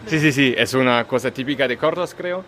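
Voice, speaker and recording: A man's voice: medium pitch, a little fast, fairly bright tone, a little hiss or hum. A man with a German accent, native German speaker, co-hosting a podcast in casual chat, thinking aloud with many fillers and restarts. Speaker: podcast co-host.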